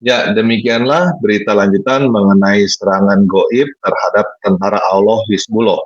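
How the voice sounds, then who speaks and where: A man with a low, husky voice reads scripted news as narrator. The recording is dull and lo-fi, with some room echo. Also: fairly even intonation, moderate pace.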